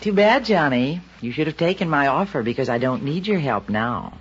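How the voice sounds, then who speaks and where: An actress playing a scheming young widow in a 1950s radio drama, taunting and then flustered. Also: female voice, sits low, animated, moderate pace, husky. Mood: smug. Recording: dull old radio recording.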